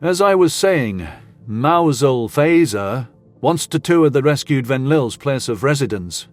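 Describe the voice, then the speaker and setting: A man's voice: medium pitch, expressive, unhurried, soft background music, natural voice. A man voicing a jokey male soldier with breezy, sarcastic ribbing, in a sci-fi audio story. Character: mocking, jokey young human male soldier.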